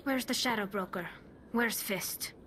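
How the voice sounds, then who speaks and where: A woman's voice: mid-range voice, a little slow, noisy background, natural voice. A woman voicing a wary young female alien fugitive in a video game, suspicious, firm and clipped. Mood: suspicious, defiant.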